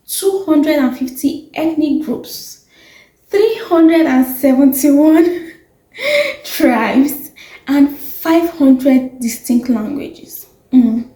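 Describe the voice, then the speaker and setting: A woman's voice: sits high, warm tone, roomy sound. A young woman with a Nigerian accent, chatting casually to camera, rambling with fillers and corrections. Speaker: vlogger.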